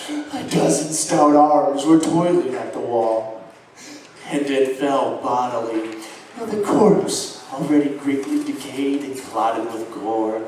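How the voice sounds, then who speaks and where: A man performing a theatrical Gothic horror monologue as a crazed, gloating murderer confessing his crime, halting and melodramatic. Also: medium pitch, darkish tone, a little hiss or hum, natural voice. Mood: horrified, frenzied. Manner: halting, repeating words, melodramatic. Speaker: stage actor performing a dramatic reading. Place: live show venue at an amusement park. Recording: echoey venue, recorded from far off.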